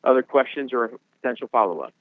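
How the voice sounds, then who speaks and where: A man's voice: medium pitch, lively intonation, quick pace, no echo. A man moderating a conference call with businesslike procedural announcements. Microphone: telephone. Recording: phone-like conference line.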